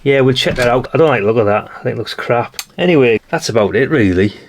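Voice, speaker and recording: A British man with a gravelly voice giving a casual, rambling hands-on commentary as he thinks aloud. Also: medium pitch, expressive, fast, a little hiss or hum. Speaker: hobbyist radio channel host.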